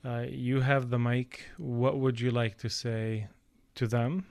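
A man hosts a podcast in a mid-range voice with a gravelly texture. His questions are long and rambling. The recording is dry and close. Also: medium pitch, fairly even intonation, slow, darkish tone, dry recording. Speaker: podcast host. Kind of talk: casual interview.

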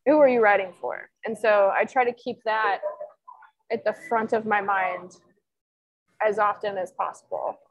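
A woman's voice: medium pitch, fairly even intonation, measured pace, low-quality recording. Chatty young woman with lots of fillers. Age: 20s. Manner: chatty, rambling, many fillers. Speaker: sports columnist. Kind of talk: casual interview answers.

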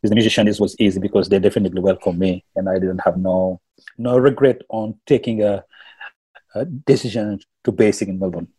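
A man from Senegal with a Senegalese accent, whose first language is Wolof, telling stories as a musician guest in a casual interview, halting and repeating words. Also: fairly low pitch, fairly even intonation, mellow, husky, low-quality recording.

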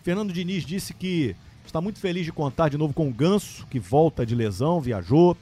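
A male podcast host moderates a casual football chat. His voice is a bit high and very animated, over faint music. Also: brisk, slightly rough.

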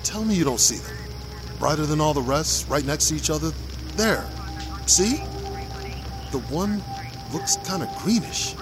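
An actor playing a plainspoken, easily awed police officer in an audio drama. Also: male voice, fairly high pitch, animated, measured pace, pauses often, over music, rough recording. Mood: awed, musing.

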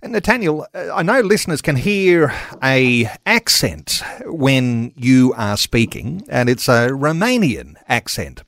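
A man's voice: medium pitch, sing-song, unhurried, hardly any pauses, fairly bright tone. A man hosting an interview, asking the guest inviting, open questions. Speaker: host.

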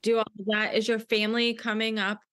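A woman in her thirties co-hosting a podcast while stuffy and sore-throated from a cold, talking in a relaxed, conversational way. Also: fairly high pitch, fairly quick, clear, resonant tone. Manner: relaxed, conversational, says "you know what I mean" often. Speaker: podcast co-host. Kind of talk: casual chat.

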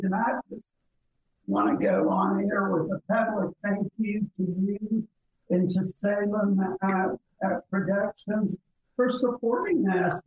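A woman's voice: mid-range voice, husky, lo-fi sound. An older woman with a Southern US accent, chatting enthusiastically as a guest on a radio talk show. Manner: warm drawl, enthusiastic, chatty. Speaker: guest, business mentoring chapter chair. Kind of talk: casual radio interview.

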